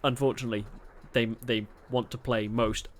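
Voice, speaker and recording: A man's voice: medium pitch, level tone, normal speed, a little hiss or hum. A man streaming a space game, chatting casually, reading chat aloud and laughing often.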